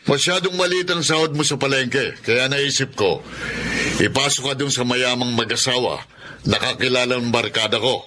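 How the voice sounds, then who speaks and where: A man's voice: medium pitch, moderate pace, slight background noise, close to an everyday voice. A Filipino man playing an abusive, money-grubbing stepfather in a radio drama, badgering and menacing. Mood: impatient, bossy. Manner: menacing, badgering with sharp repeated questions.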